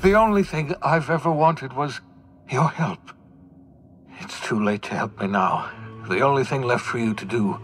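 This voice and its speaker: An actor playing a despairing, defiant older fugitive scientist in a video game, theatrical and swinging from bitter to pleading. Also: male voice, mid-range voice, a bit bright. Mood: remorseful, broken. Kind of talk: dramatic video game acting.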